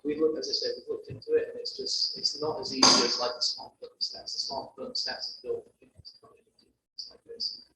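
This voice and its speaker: A man's voice: medium pitch, steady tone, a little fast. A man with a London accent, a guest presenter answering audience questions at a meeting, talking hesitantly with many restarts. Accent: London English. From London, England. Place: meeting room. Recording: echoey room, distant mic, faint hum.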